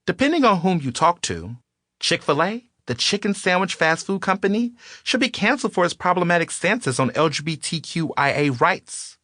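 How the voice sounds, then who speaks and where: A man reading his own nonfiction audiobook with an expressive, pointed delivery, recorded close on a studio mic. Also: high voice, normal speed, dry recording. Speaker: author narrating his own book. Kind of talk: audiobook narration.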